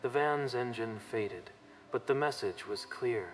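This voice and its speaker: A man's voice: medium pitch, measured pace, slight background noise, low-quality recording. A man narrating a dramatic manga story from a script.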